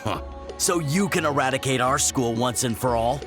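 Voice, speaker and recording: Man playing a mid-pitched suspicious priest. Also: normal speed, lightly acted. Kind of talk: video game voice acting. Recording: music under the voice.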